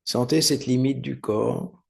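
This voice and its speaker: Man, meditation teacher, very slow pace, gravelly fry, soothing step-by-step guidance, frequent pauses. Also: mid-range voice. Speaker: yoga nidra meditation teacher. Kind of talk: guided meditation instruction.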